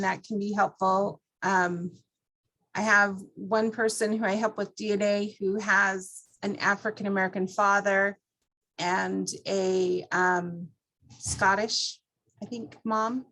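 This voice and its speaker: Conversational woman walking through software. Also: mid-range voice, steady tone, unhurried, rough recording. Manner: conversational, explanatory, step-by-step. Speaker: presenter teaching a class. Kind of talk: informal software walkthrough.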